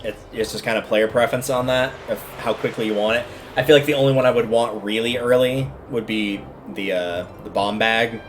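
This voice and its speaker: A man's voice: mid-range voice, fairly even intonation, fairly quick, a little hiss or hum. A man giving casual, rambling livestream commentary on a video game, often stopping to explain things.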